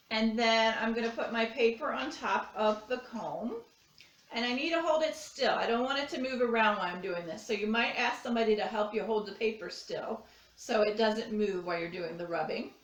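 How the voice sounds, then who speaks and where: A woman's voice: fairly high pitch, fairly bright tone, dull audio, a little hiss or hum. A woman art teacher giving children a simple, step-by-step craft demonstration. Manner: simple, step-by-step explaining with repeated phrases. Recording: echoey room, distant microphone.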